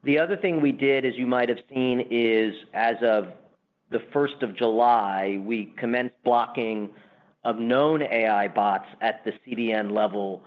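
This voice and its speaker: A man's voice: mid-range voice, measured pace. A man speaking as a company executive, explaining the business emphatically and semi-formally in answers on an earnings call. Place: conference call. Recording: muffled, band-limited conference call line.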